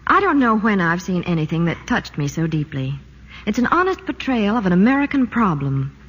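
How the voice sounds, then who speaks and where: Breathy lady gushing about movies, lowish voice, muffled old radio sound. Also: very animated, a little fast. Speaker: entertainment reporter. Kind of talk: scripted sponsor segment. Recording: dull lo-fi old broadcast.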